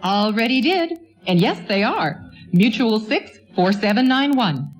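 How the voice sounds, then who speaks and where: An actress playing a practical, supportive, quick-witted office assistant in a radio play set in the 1940s. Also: female voice, a little high, sing-song, soft background music. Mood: brisk, knowing.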